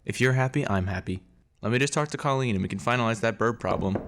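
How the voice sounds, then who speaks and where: A man's voice: fairly low pitch, fast, background noise, natural voice. A man playing a practical, devoted husband in an audio drama, talking casually. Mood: casual, wary. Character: wary but devoted husband house-hunting.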